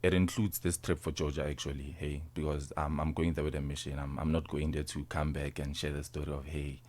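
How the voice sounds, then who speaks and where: A man's voice: rumbling low, flat delivery, a little fast, darkish tone. A nineteen-year-old man with a South African accent, answering interview questions informally as a sports guest, often repeating and rephrasing. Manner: informal, repeats and rephrases, tags phrases with "you see". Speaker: interview guest, rugby player.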